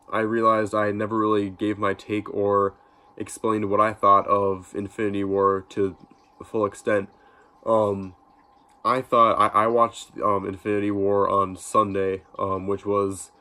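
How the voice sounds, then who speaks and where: A man's voice: lowish voice, flat delivery, unhurried, bright, forceful tone. A young man with a stuffy nose from a cold, chatting casually in a vlog and rambling with lots of ums and restarts. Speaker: YouTube vlogger. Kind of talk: casual reaction vlog talk.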